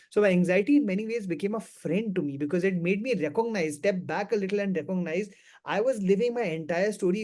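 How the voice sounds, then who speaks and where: A man in his thirties with a fairly high voice, speaking as a panel guest. He tells his own story in an earnest, reflective way. He often restarts sentences and repeats himself as he works through his thoughts. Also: darkish tone. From India. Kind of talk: candid personal storytelling.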